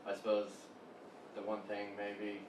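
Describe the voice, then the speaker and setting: An easygoing young Irish man chats through an interview, pausing on fillers and repeating himself. His voice sits a little low and sounds distant from the mic in a roomy space, with steady noise behind him. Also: lo-fi sound. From Ireland. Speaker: guest, web agency co-founder. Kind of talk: casual interview talk.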